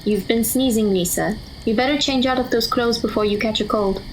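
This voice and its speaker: A woman's voice: on the high side, fairly quick, slight background noise, lightly acted, rough recording. A woman playing a practical, caring teenage magical girl in an audio drama.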